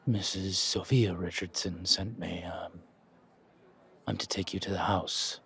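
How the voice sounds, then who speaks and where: A man voicing a polite, subdued chauffeur in an audio roleplay, speaking quietly and formally with halting pauses. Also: low voice, steady tone, husky, voice pushed down, full character voice. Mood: polite, reserved.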